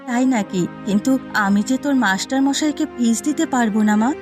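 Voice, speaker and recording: A woman voices a gentle, careworn village mother from a cartoon folk tale. Her speech is tender and sorrowful, with faint music behind it. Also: fairly high pitch, normal speed, close to an everyday voice. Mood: fond. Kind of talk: Bengali fairy-tale cartoon dubbing.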